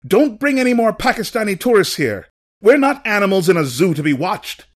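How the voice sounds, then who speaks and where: Anguished old camp dweller played by a male narrator, angry and embittered, impassioned, pitched up, animated. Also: drawn-out words, exaggerated voice. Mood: furious.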